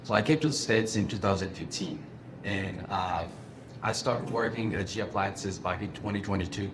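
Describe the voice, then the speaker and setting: A man speaks in a very low voice, steady and matter-of-fact, into a handheld microphone. Clear background noise from the room runs under his words. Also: moderate pace. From Afghanistan. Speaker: company ambassador on a panel. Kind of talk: introducing himself to students.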